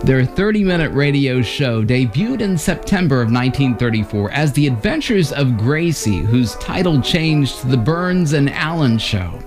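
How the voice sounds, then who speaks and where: A man's voice: mid-range voice, very animated, normal speed, hardly any pauses. A man reading a scripted introduction as the narrator of a vintage radio series. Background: music.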